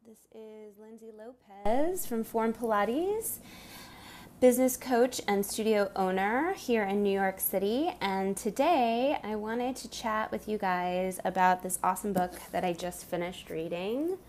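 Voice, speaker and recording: A woman's voice: mid-range voice, a little slow, a little mellow, slight background noise. A woman business coach and studio owner chatting casually with live viewers from a handheld camera, upbeat and full of fillers and restarts.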